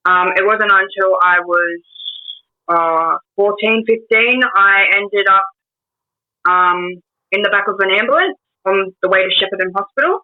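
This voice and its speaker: A woman in her twenties with an Australian accent speaks as an interview guest, her pitch sitting in an ordinary middle range. She talks in a halting way, full of pauses and restarts. Her voice comes through a narrow, tinny line that sounds like a phone call. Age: 20s. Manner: hesitant, many ums and restarts. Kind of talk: casual interview storytelling.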